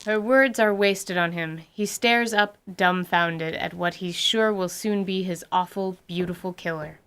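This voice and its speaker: A woman's voice: lowish voice, measured pace, a bit bright, smooth. A woman giving vivid, theatrical scripted narration of a fantasy tabletop story. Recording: faint music underneath.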